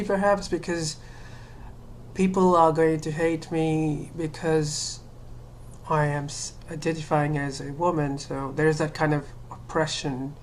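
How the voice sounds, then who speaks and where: A woman's voice: sits low, slight background noise. A woman from Sri Lanka talking to camera in a casual monologue, hesitant, with many fillers and restarts. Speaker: vlogger.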